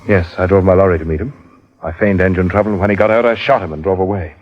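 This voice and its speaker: An actor playing a guilt-ridden, desperate estate owner who has killed, in an old radio drama. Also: male voice, sits low, fast talker. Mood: grim, resigned. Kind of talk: 1950s radio drama acting. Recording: muffled old radio recording.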